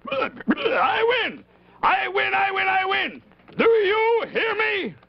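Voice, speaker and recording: A man's voice: very high-pitched, big pitch swings, slow, creaky, dull audio, heavily acted. A man voicing a cranky, greedy, boastful old man puppet in a 1950s children's television show, blustering and repeating himself insistently. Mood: gloating.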